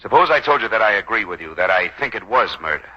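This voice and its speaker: An actor playing an earnest, uneasy hired bodyguard in a 1940s radio drama, talking in a halting, urgent, pleading way. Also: male voice, lowish voice, animated, sharp tone, heavily acted. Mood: earnest, probing. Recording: dull vintage 1940s radio recording.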